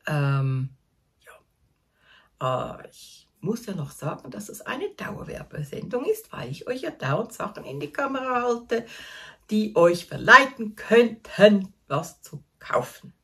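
A woman's voice: medium pitch, sing-song, takes it slowly, a bit bright, breathy edge. A woman in her fifties with a Swiss German accent, chatting casually to camera from her small craft corner at home, chatty and self-deprecating and laughing at herself. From Switzerland. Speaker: knitting vlogger.

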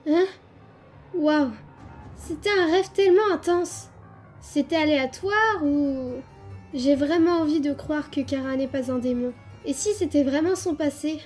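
A woman's voice: sits high, a little slow, darkish tone, faint music behind. A woman voicing an anxious, kind-hearted, determined young child in a French comic dub, earnest and emotional. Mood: dazed, pensive.

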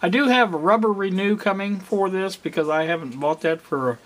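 A middle-aged man giving a casual, unscripted demonstration, halting and rambling as he thinks aloud. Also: high voice, fairly bright tone, slight background noise. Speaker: hobbyist presenter. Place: indoor home workspace.

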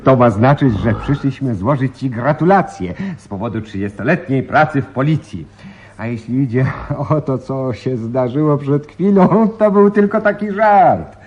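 A man's voice: medium pitch, expressive, moderate pace, darkish tone, highs cut off, close to an everyday voice. An actor playing a jovial, teasing policeman who delights in pranking his boss, in a radio play. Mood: gleeful, explaining. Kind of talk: Polish radio play acting.

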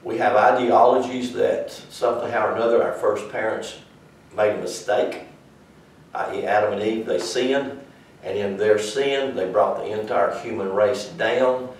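Folksy older Southern preacher, roomy echo. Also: male voice, lowish voice, steady tone, a little slow. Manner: folksy, rambling, conversational with audience asides. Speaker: church teacher. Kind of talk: informal church teaching. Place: small church classroom, indoors. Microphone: far camera. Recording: echoey room from far off.

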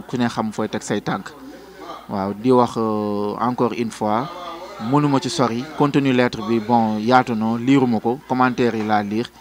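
A young Senegalese man giving a steady street interview answer indoors into a handheld mic. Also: medium pitch. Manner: steady, mixing in French phrases. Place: indoors in a small shop.

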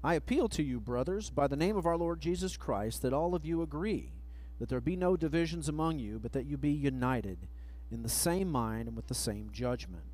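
A middle-aged man preaching a sermon at a church pulpit mic, reading scripture slowly and letting phrase ends trail off. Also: fairly high pitch, animated, a little mellow, low-quality recording. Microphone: pulpit microphone, far camera.

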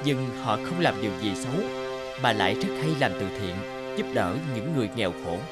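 A man's voice: fairly low pitch, lively intonation, fairly quick. Man narrating a cartoon fairy tale. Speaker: narrator voicing all characters. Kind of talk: cartoon storytelling, one reader doing all voices. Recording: music bed under the voice.

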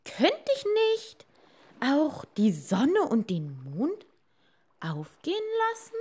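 A woman's voice: on the high side, wildly swooping pitch, slow, a little mellow, slightly rough, full character voice. A woman voicing a greedy, haughty fisherman's wife in a storybook tale, demanding ever more power.

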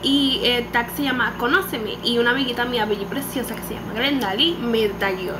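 A woman's voice: fairly high pitch, fairly quick. A nineteen-year-old woman from Puerto Rico with a Puerto Rican accent, chatting animatedly to a webcam and dropping English words into her talk. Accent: Puerto Rican Spanish. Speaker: beauty vlogger. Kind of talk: casual chat to camera. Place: small room indoors. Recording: home webcam recording with background noise.

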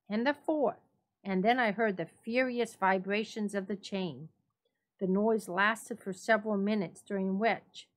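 A woman gives a dramatic reading of a written story. Her pitch sits in the middle range as she narrates from the script. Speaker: library staff story reader.

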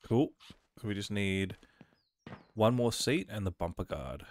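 A man with a cold casually commentating his own gameplay, reading on-screen prompts aloud and thinking out loud as he plays. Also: on the low side, animated, unhurried. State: has a cold. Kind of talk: casual let's-play commentary.

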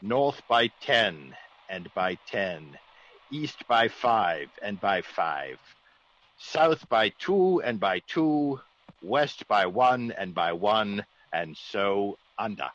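An actor playing a genteel, easygoing English gentleman with polite, old-fashioned exclamations, in a classic radio drama. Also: lowish voice, big pitch swings, drawn-out words, exaggerated voice. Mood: solemn reciting. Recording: dull old radio recording.

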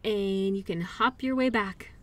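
A woman's voice: medium pitch, measured pace, fairly bright tone, close to an everyday voice. A woman playing a caring, upbeat girlfriend in an intimate roleplay audio, talking in a soothing, playful, encouraging way. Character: caring, upbeat girlfriend comforting an injured partner.

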